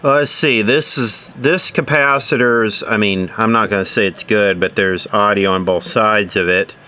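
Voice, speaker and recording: Guy with lowish voice muttering through an electronics repair, asking himself stuff, bit of noise behind him. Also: measured pace, bright, forceful tone. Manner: musing, asks himself questions. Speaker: electronics repair hobbyist presenter. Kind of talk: casual troubleshooting commentary, thinking aloud.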